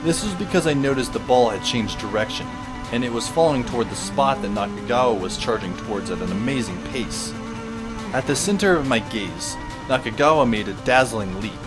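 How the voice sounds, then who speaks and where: Male actor as teenage schoolboy narrator, wry, observant, wordy descriptions, audio drama, clear music bed. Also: mid-range voice, expressive, a little fast, lightly acted. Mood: wry, intent. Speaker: first-person narrator. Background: music behind the voice.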